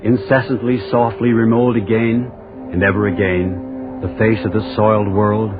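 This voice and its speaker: An actor playing a solemn poetic announcer, incantatory and portentous, in a 1940s radio drama prologue. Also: male voice, fairly low pitch, little pitch movement, normal speed, natural voice. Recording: tinny old radio, loud music behind.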